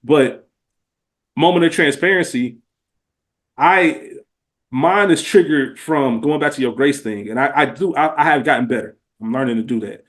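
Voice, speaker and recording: A man's voice: mid-range voice, moderate pace. An American man co-hosting a podcast in casual, reflective conversation, earnest and slangy, repeating phrases for emphasis. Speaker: podcast co-host.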